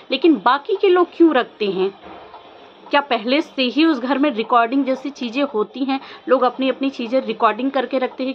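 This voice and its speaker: A woman vlogger giving informal opinion commentary, questioning insistently and repeating her points for emphasis. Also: fairly high pitch. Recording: dull lo-fi audio with faint noise.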